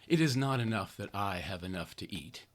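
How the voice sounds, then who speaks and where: Man, American accent, slightly low pitch, dramatic essay reading, sardonic, theatrical, heavy stress on key phrases. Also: lively intonation, moderate pace. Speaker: podcast host.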